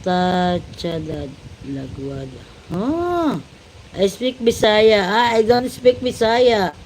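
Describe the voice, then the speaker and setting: Filipino woman, livestream host, unhurried chat, faint background noise. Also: medium pitch, lively intonation. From Philippines. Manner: greets viewers with repeated shout-outs, mixing Tagalog and English.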